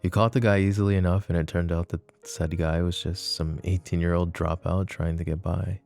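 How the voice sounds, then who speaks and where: Man acting a deep, gravelly, flirty mafia boss. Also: level tone, a little fast, a little mellow, soft background music. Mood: quiet, reflective. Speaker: voice actor. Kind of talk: intimate ASMR roleplay acting.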